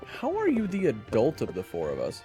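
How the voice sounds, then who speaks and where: A man's voice: medium pitch, huge pitch swings, normal speed, dark tone, big-room sound, soft background music. A man playing a wry, sarcastic young adventurer who also narrates a fantasy audio roleplay. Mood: irritated. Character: young male adventurer hero, wry, irritable trainee and narrator.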